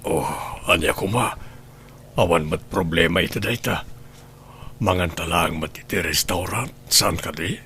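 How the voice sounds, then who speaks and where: A man playing a jilted lover who presses his ex-girlfriend, speaking slowly in an insistent, pleading way in an audio drama. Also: sits low, sharp tone, slight background noise.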